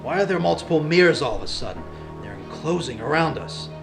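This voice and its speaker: A man's voice: fairly high pitch, lively intonation, a little fast, vocal fry. A man playing a smug, commanding gangster boss in an audio drama, with an imperious, businesslike manner. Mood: angry, alarmed. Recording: music behind the voice.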